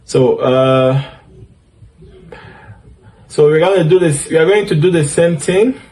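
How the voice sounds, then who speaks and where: A man speaking English with a French accent, his voice sitting a little high for a man. He talks a tech tutorial through step by step, with plenty of fillers and quick self-corrections. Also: a little mellow. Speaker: DevOps instructor. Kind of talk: screen-share tutorial walkthrough.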